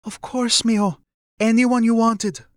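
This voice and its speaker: A man's voice: extremely high pitch, little pitch movement, measured pace, no room sound, studio quality, pitched above the performer's own voice. A man voicing a middle-aged mother in an audiobook, anxious, regretful and tender, with careful, hesitant, formal phrasing. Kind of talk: audiobook character dialogue.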